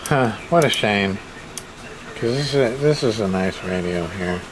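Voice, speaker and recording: A man giving casual hands-on repair commentary as a repairman hosting his own video, halting and muttering to himself as he works. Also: on the low side, lively intonation, noisy background. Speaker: radio repairman and video host. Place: indoor repair workbench.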